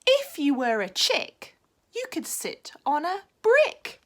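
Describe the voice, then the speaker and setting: A woman voicing a bossy, rule-making frog in a storybook reading, shrill and emphatic. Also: huge pitch swings, takes it slowly, pushed and bright, exaggerated voice. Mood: gleeful. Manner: shrill, emphatic, sing-song rhymes.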